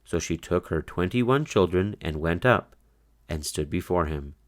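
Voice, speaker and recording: A man narrating a children's storybook aloud, with expressive, deliberate, scripted storytelling. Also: deep voice.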